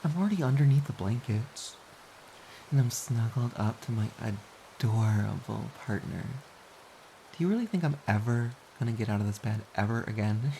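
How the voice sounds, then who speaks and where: A woman playing a playful, affectionate, teasing partner in an intimate audio roleplay of soft pillow talk. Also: extremely low pitch, exaggerated voice, low-quality recording. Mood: cosy, smug. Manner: teasing, giggly, mock-pouty. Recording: faint background hiss.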